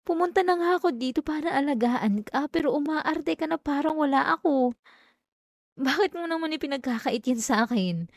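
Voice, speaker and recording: A woman's voice: high voice, expressive, normal speed, no echo. A young woman with a Filipino accent voicing an obsessive, possessive female classmate in an intimate, dramatic ASMR roleplay, addressing the listener up close. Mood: sulky, pleading. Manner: intimate, pleading turning coldly threatening, dramatic. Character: obsessive yandere female classmate, clingy, wounded, menacingly possessive. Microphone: close microphone, dry sound.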